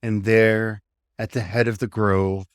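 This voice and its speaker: A man leading a guided meditation, giving gentle instructions in short phrases with unhurried pauses. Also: lowish voice, flat delivery, smooth, no echo, studio quality.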